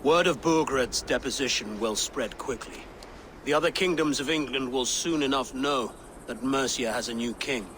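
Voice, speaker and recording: Male actor as earnest Saxon king, video game, grave, formal, average pitch, clear insect ambience. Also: moderate pace, background noise, natural voice. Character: earnest new Saxon king and worried father.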